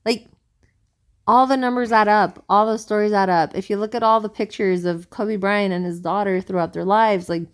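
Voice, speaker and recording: Woman, podcast co-host, earnest, rambling, slightly low voice. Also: smooth. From South America. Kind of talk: casual conversation.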